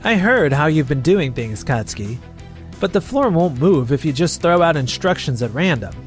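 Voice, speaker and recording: A man's voice: fairly high pitch, sing-song, moderate pace, faint music behind. Man acting a blunt, assured elder brother. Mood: stern, admonishing. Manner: assured, admonishing. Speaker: voice actor and narrator in manga dub. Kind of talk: dramatized manga story dub.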